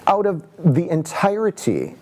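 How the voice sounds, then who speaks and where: Exasperated man, darkly mellow voice. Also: sits high, wildly swooping pitch, a little slow, slight background noise. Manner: emphatic, exasperated, restarts sentences. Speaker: casting professional. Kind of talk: documentary interview.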